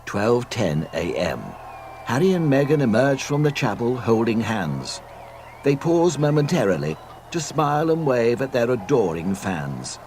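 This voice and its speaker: Expressive male storybook narrator. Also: medium pitch, a little slow, slight background noise. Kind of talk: scripted mock-solemn read-along narration.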